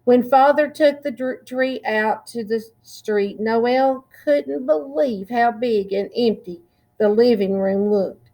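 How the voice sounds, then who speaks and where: A woman reading a children's picture book aloud in a deliberate, expressive way, drawing out words. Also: fairly high pitch. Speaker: children's storytime reader.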